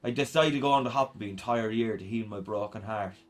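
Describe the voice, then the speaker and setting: A man's voice: on the low side, a little fast, natural voice. An Irish man playing a sardonic, slangy teenage punk boy reading out his zine in a radio play. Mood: flippant, bitter. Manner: irreverent, slangy, sweary.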